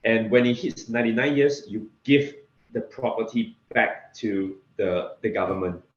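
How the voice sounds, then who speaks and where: A man from Singapore with a Singaporean accent, talking casually as an interview guest in a halting way, often repeating words. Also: lowish voice. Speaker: interview guest, real estate investor. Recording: echoey room, slightly dull sound.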